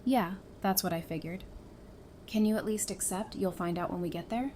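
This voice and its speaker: A woman's voice: lowish voice, moderate pace, mellow, slight background noise, close to an everyday voice. A woman playing an affectionate, playful survivor in an audio drama, casual and teasing in her delivery.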